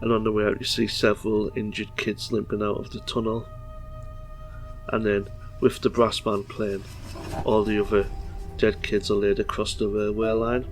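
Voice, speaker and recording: A man hosting a film review, talking plainly and informally in halting phrases, part scripted. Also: fairly low pitch, monotone. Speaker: vlog host. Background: music.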